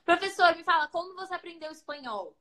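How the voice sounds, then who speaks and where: A woman's voice: very high-pitched, lively intonation, fast talker, sharp tone, roomy sound. A young woman with a Brazilian accent hosting a livestream, chatting playfully with viewers and a guest. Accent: Brazilian Portuguese. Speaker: livestream host. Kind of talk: casual livestream chat.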